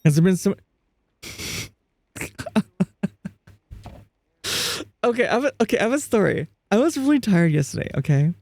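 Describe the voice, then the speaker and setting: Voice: mid-range voice, sing-song, moderate pace, a little mellow, no room sound. A streamer chatting casually during a live gaming stream, laughing often, reading chat aloud and restarting sentences. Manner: frequent restarts and fillers.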